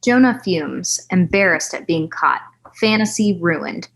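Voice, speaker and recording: A woman narrates a screenplay at a table read in a slightly low voice. She reads out scene headings and action descriptions in a scripted narration style. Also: expressive, a little slow, vocal fry, low-quality recording. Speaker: stage-direction narrator.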